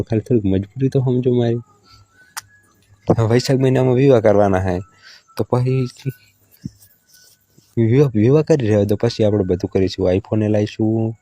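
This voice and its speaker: Male actor as placating hard-up lover, Gujarati accent, very mellow dark tone, dry close sound, casual phone chat. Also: fairly low pitch, normal speed, long pauses, no room sound, close to an everyday voice. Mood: exasperated, placating. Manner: placating, bargaining. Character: hard-up village in-law lover, stalling and placating. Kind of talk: staged casual phone conversation.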